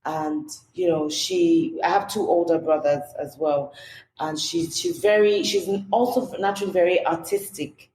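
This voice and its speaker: Nigerian woman guest, lowish voice, chatty, says you know a lot, echoey room w/ some noise. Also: animated, normal speed, a little mellow. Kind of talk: casual interview chat.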